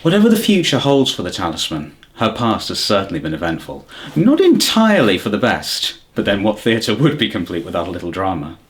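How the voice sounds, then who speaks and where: A man's voice: on the low side, wildly swooping pitch, a little fast, hardly any pauses. A man narrating a scripted documentary as its host.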